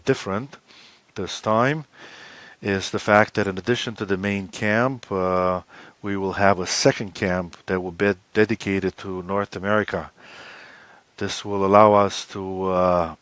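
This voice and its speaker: A man giving an explanatory interview as a guest, speaking in halting, hesitant phrases. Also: sits low, fairly even intonation, a bit bright, no room sound. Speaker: expedition co-leader, interview guest.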